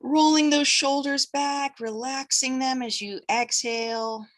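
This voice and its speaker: Slow-paced woman guiding a meditation. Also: sits high, steady tone. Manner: gentle, instructive, pausing between short phrases. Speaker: breathwork and meditation instructor. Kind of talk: guided meditation instruction.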